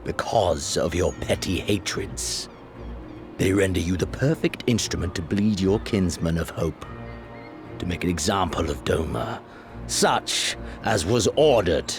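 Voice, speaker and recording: An actor playing a cold, commanding imperial overlord who speaks slowly and threateningly in a game cutscene. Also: male voice, sits low, over music. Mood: menacing. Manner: slow, threatening, deliberate. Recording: game cutscene with score underneath.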